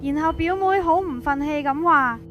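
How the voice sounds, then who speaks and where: A woman's voice: high-pitched, normal speed, highs cut off, natural voice. A woman with a Hong Kong Cantonese accent narrating a scripted first-person ghost story. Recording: prominent music behind.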